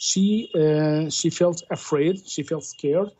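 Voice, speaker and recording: A man tells a long story slowly, stumbling through fillers and restarts as he asks not to be cut off. His voice is soft and husky, heard over dry, dull, lo-fi call audio. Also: fairly high pitch, fairly even intonation, no echo. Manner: halting, many fillers and restarts, pleads to finish. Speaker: debate guest. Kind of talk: retelling a scripture story in a debate.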